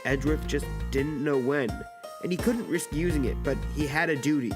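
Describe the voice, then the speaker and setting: A man's voice: fairly high pitch, moderate pace. A man narrating a scripted dramatic story for an audio drama. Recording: background music under the voice. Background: music.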